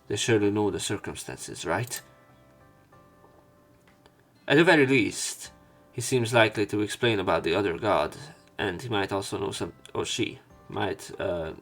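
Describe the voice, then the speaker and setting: Low-voiced man narrating over music. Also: moderate pace, fairly bright tone. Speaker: let's player narrating a visual novel. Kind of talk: reading visual novel narration aloud with commentary. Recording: music playing behind the voice. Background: game music.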